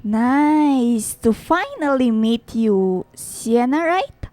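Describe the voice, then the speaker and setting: Playful Filipino businesswoman of thirty, higher voice, drawling gushy Taglish, dry close recording, radio drama. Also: animated, mellow, dry recording. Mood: breezy, teasing. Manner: drawn-out, gushing, English-peppered Taglish speech. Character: sociable, playful thirty-year-old single successful businesswoman.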